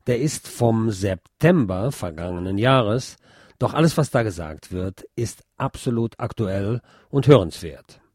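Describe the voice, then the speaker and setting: A man's voice: on the low side, animated, measured pace, a little mellow, dry recording, clean studio sound. A man reading scripted announcements as a radio host.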